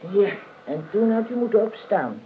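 A woman's voice: mid-range voice, big pitch swings, moderate pace, lightly acted. Actress as dry, motherly housekeeper on muffled old radio. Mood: matter-of-fact. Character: stout, matter-of-fact, motherly female housekeeper, gently scolding. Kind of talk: Dutch radio play acting. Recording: narrow, hissy old radio recording.

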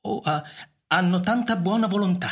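An actor voicing an ancient, loyal robot butler in a radio drama, formal, deferential and wistful, speaking in a measured way. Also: mid-range voice, breathy edge, natural voice. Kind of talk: Italian radio theatre acting.

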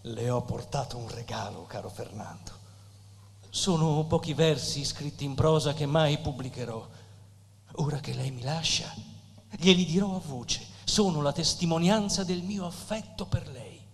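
A man's voice: on the high side, a little slow, vocal fry. Humble fatherly poet, echoing hall. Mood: affectionate farewell. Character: humble, affectionate male country poet and fatherly mentor. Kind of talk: theatrical literary stage acting. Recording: reverberant hall with background noise.

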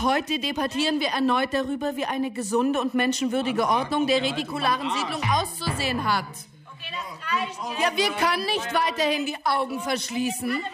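A woman acting an insistent opposition politician in a futuristic radio play. Her fairly high voice delivers formal, rhetorical speech with music underneath. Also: natural voice. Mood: agitated, insistent. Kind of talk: parliamentary speech in radio drama.

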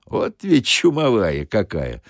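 A man performs an aggrieved bus driver for a comic audio story. His pitch swings up in exasperation as he grumbles and curses in folksy speech, sentimental about machines he has loved. The recording is close and dry. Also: wildly swooping pitch, slow pace, creaky, dry recording, full character voice. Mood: bitter, exasperated.

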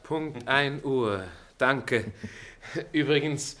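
An actor playing a wry, hearty middle-aged former pupil in a German radio play, speaking in a mock-formal, flowery way. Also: male voice, medium pitch, drawn-out words, a bit bright. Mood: jovial.

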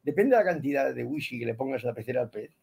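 A man talks through absurd ideas with a dry, deadpan logic. His voice is gravelly and a bit husky, sitting at an ordinary pitch for a man. He sounds like a comedian chatting on a radio show. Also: rapid-fire. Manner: rambling deadpan absurd reasoning. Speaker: comedian guest. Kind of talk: casual comedic chat.

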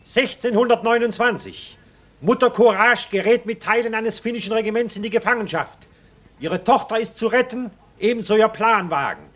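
An actor as a solemn narrator announcing the scenes of a radio play in scripted narration. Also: male voice, high-pitched, moderate pace, fairly bright tone. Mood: matter-of-fact.

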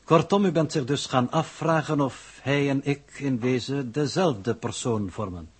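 An actor in a 1960s radio drama playing an imperturbable, logical expedition coordinator who speaks formally and precisely. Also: male voice, mid-range voice, measured pace, breathy edge. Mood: unruffled, formal.

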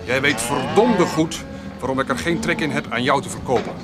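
An actor playing a stubborn, curt farmer who bears a grudge, in a radio play. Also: male voice, mid-range voice, a little fast, over loud music, close to an everyday voice. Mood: bitter, firm. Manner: curt, firm.

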